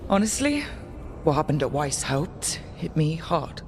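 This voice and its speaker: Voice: mid-range voice, very animated, measured pace. Game role: earnest leader carrying heavy responsibility. Mid-range voice, subdued and direct, with noisy ambience behind. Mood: regretful, heavy. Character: earnest, burdened young team leader fighting the gods. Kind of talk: dramatic video game dialogue.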